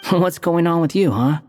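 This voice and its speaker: A man voicing an aloof, sharp-tongued young wanderer in a video game, dry and curt. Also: on the high side, measured pace, lightly acted. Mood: wry. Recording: echoey game audio with music.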